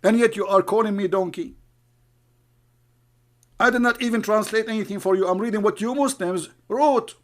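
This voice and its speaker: Guy ranting, mocking and sneering, kinda high voice. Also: moderate pace, long pauses. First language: Arabic. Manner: mocking, scornful, calls names. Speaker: online commentator. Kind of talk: heated argumentative commentary.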